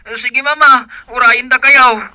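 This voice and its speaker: A bright-toned young husband , played by a man in a radio drama. He talks to his wife tenderly and gets loud and urgent when things go wrong. His voice is crisp and forward. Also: very high-pitched, steady tone, a little slow, no echo, full character voice. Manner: doting, then loud and frantic. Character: young husband, a tricycle driver. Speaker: expectant father.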